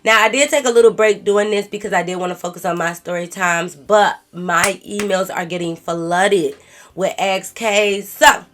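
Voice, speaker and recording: A woman's voice: mid-range voice, fairly even intonation, moderate pace, fairly bright tone, soft background music. A young woman vlogger with a stuffed-up cold voice, reading a viewer's story aloud and talking back to it in sassy slang. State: congested from a cold. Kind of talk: casual storytime, reading a viewer's letter and reacting.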